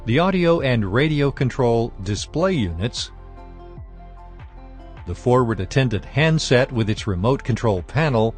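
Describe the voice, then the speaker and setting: A man reading a scripted technical training lesson in a formal, measured, instructional manner. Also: medium pitch, expressive, soft background music. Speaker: instructor narrator.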